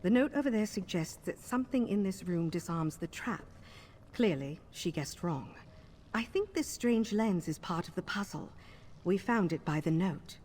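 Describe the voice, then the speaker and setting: A woman voicing a wary, blunt vampire mercenary in a fantasy video game, husky and plainspoken. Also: lowish voice, animated, moderate pace, darkish tone. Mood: grim, wary. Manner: guarded, plainspoken.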